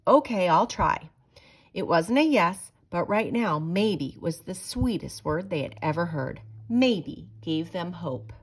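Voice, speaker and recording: A woman narrates a children's story aloud. Her voice sits a little low but moves around a lot, with expressive, deliberate phrasing made for young listeners. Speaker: storybook reader.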